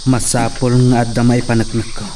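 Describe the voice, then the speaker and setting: An actor playing a resentful, brooding ranch hand who talks to himself, recorded from a distance over clear hiss. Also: male voice, medium pitch, flat delivery, fairly quick, warm tone, natural voice.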